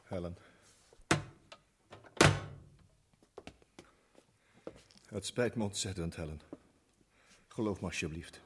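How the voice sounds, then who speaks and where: A man's voice: sits low, normal speed, very noisy, natural voice. An actor playing a polite but evasive man suspected of lying in a radio drama, prone to stammering when pressed. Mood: apologetic. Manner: polite then flustered, stammering. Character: smooth-talking man hiding guilt, defensive.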